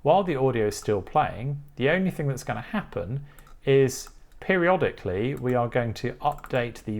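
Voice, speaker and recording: A man giving a methodical, step-by-step technical tutorial as the presenter. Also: on the low side. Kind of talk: explanatory coding tutorial walkthrough.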